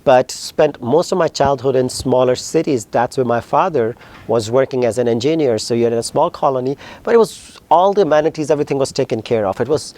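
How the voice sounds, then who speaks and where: A man in his thirties from Pakistan with a Pakistani accent, answering interview questions in a fast, animated way. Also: mid-range voice. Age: 30s. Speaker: guest, company founder.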